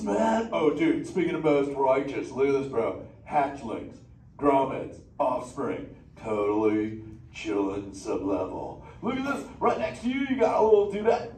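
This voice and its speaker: A man's voice: very high-pitched, distant mic, exaggerated voice. A man playing a laid-back male surfer sea turtle in a live interactive theater show, drawling surfer slang and bantering with the crowd. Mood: stoked. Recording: echoey theater heard from afar with ambient noise.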